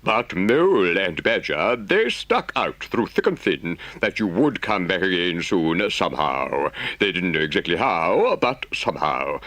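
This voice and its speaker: A man voicing an earnest, reproachful rat in an audiobook, telling things gravely and dramatically. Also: deep voice, a bit bright, no room sound, voice pushed up, heavily acted. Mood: indignant, confiding. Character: earnest, reproachful male rat, loyal storyteller.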